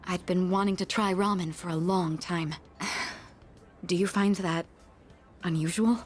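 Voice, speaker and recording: A woman's voice: fairly low pitch, steady tone, unhurried, faint music behind, natural voice. An actress playing a well-bred, earnest young woman in a video game, speaking formally and politely with naive curiosity and the odd French phrase. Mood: warm, wistful.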